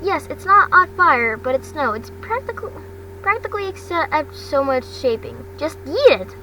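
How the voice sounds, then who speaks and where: A woman's voice: high voice, very animated, moderate pace, sharp tone, pitched above the performer's own voice, full character voice. A woman voicing an earnest, literal-minded teenage boy hero student in a story, blunt and insistent. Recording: noisy home recording, distant mic.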